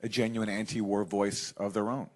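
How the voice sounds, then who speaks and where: Low-voiced man on a political panel. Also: level tone, unhurried. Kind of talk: live political panel talk. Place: live festival panel event.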